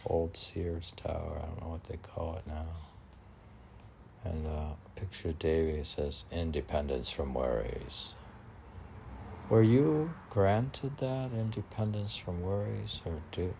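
A man's voice: low-pitched, gravelly, dark tone, slight background noise. A man reading his own poetry informally, musing aloud and chatting to himself between lines in an unpolished way. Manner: musing, talks to himself, asks and answers own questions. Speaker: poet reading own work.

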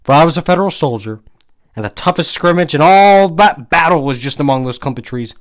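A man's voice: on the high side, lively intonation, fairly quick, close to an everyday voice. Guy reading a little shriveled gray-whiskered union veteran, earnest and sentimental, storybook style. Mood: earnest, reminiscing.

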